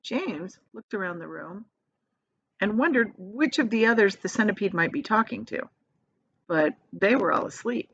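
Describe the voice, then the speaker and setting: A woman's voice: medium pitch, expressive, normal speed, gravelly, fairly bright tone, husky, lo-fi sound. A woman reading a children's story aloud like a teacher, expressively, breaking off for plain, casual explanations. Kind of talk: animated storybook read-aloud with casual asides.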